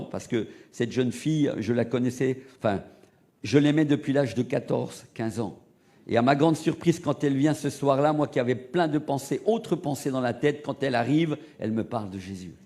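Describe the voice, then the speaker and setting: A middle-aged man from Portugal, preaching a sermon and telling his own testimony, rising to loud emphatic peaks and dropping to hushed low asides. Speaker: evangelist preacher.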